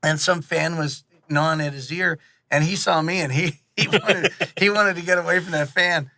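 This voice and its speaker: A man with a slightly high, forward, bright voice speaks as a guest in a casual interview. He rambles and restarts with animated, joking energy. The sound is dry and free of room echo. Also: moderate pace. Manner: animated, rambling, jokey, self-interrupting, acts out quotes; casually profane. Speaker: interview guest, audio drama director and producer.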